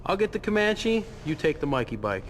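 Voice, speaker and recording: An actor playing a direct, casual bike builder, the boss's son, in a video game. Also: male voice, a little high, sing-song, fairly quick, slight background noise. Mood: matter-of-fact. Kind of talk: video game cutscene acting.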